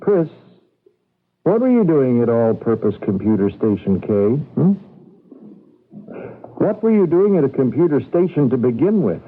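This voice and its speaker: An actor playing a suspicious, commanding rebel leader in a radio drama, probing and blunt like an interrogator. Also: male voice, mid-range voice, very animated, unhurried, long pauses. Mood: accusing. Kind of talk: old-time radio drama acting. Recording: dull, band-limited 1980s radio recording.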